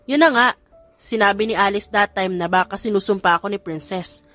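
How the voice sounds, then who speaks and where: A woman's voice: mid-range voice, brisk, fairly bright tone, no echo, faint music behind, close to an everyday voice. A woman with a Filipino accent voicing a vain, cheeky adult son in an audio romance story, boastful and self-assured. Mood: explaining.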